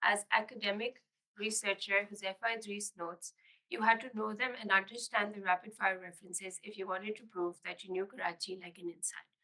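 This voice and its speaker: Woman student with a sore, strained throat; bright and measured reading of academic prose. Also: mid-range voice, fairly even intonation, poor audio. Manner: measured, careful reading with small restarts. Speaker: graduate student reader. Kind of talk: reading an academic essay aloud. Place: public library reading event.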